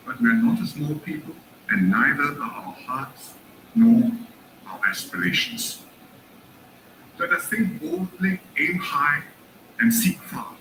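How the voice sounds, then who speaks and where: A man's voice: on the high side, bright, forceful tone. A man delivering a formal, rousing speech in parliament. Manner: rousing, measured oratory. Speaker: member of parliament. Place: parliament chamber. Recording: roomy chamber with faint noise.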